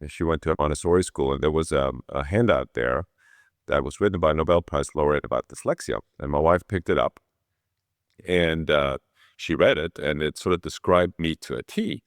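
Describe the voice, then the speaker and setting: A man's voice: extremely low pitch, a little fast, no room sound, studio quality. A middle-aged man from Switzerland telling his own story conversationally as an interview guest. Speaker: interview guest, orthopedic surgeon.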